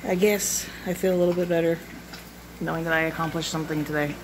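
A wry, tired woman in her forties talks through her day into a phone held at arm's length. Her voice sits low and unhurried. The home recording carries clear background noise. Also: steady tone. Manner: wry, self-deprecating, tired. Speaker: vlogger. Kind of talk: casual home vlog. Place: small kitchen at home, indoors.

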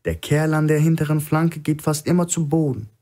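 A man's voice: a little high, moderate pace, warm tone, pitched above the performer's own voice, natural voice. A man voicing a shrewd, defiant male hostage in a thriller audiobook, insistently reasoning to provoke his captor. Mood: pressing, provoking. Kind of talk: audiobook character acting.

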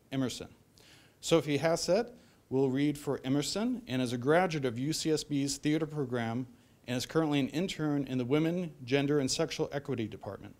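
A man's voice: medium pitch, a little mellow. A middle-aged American man reading a prepared introduction as host of a public talk. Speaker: event host introducing a speaker. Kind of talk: prepared introduction speech, read aloud. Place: library event room, at a lectern.

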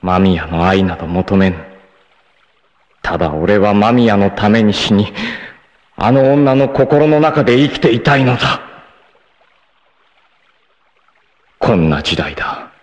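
An actor playing a proud, fierce, devoted martial-arts warrior facing death, with solemn, dramatic delivery in 1980s anime style. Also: male voice, lowish voice, lively intonation, lo-fi sound. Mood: solemn, wistful. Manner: solemn, dramatic, deliberate delivery with intense outbursts.

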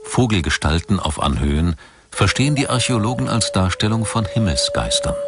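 A man reading scripted documentary narration in a measured, articulate way. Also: lowish voice, big pitch swings, very noisy.

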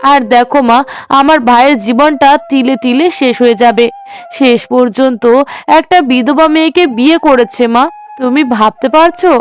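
A woman's voice: high-pitched, normal speed, natural voice. A woman voicing a resentful, judgmental grown daughter in a cartoon melodrama, indignant and gossipy.

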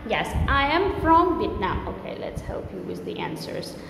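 A woman's voice: high voice, sing-song, moderate pace. A woman in her thirties from Belarus teaching English, asking simple short questions and repeating answers encouragingly. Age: 30s. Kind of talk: spoken English drill with a student. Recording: echoey room with loud ambient noise.

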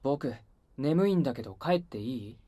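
A man's voice: mid-range voice, expressive, moderate pace, dark tone, no echo, lightly acted. A man playing a sleepy, aloof, matter-of-fact teenage boy idol in an anime-style voice drama, languid and unbothered. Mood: sleepy, indifferent.

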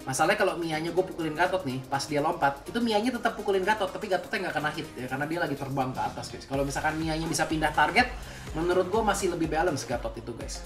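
A man's voice: on the high side, expressive, quick pace. A young man giving casual, slangy gameplay commentary, explaining things as he goes and often addressing his viewers. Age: young adult. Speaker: gaming video creator and commentator. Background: music.

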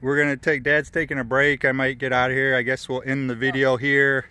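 A man's voice: medium pitch, level tone, a little fast, pushed and bright, smooth, no room sound. A man filming outdoors with a handheld camera, chatting and teasing as he narrates what he shows. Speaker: son filming his father for a video. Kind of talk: casual vlog narration and chat. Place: outdoors at a small airfield.

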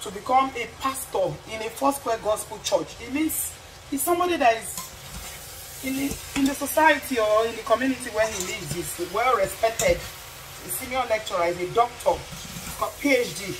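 A woman's voice: on the high side, animated, moderate pace. A middle-aged woman from Nigeria with a Nigerian accent, cooking in her home kitchen while talking passionately to the camera, asking rhetorical questions and repeating herself for emphasis. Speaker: vlogger. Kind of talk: cooking vlog with social commentary. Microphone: camera on the counter. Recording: frying noise behind voice.

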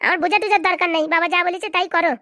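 A woman's voice: very high voice, steady tone, racing, bright, forceful tone, no room sound, studio quality. A woman playing an aggrieved, stubborn young wife, argumentative and emphatic, in a comic cartoon. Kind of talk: comic cartoon drama dialogue.

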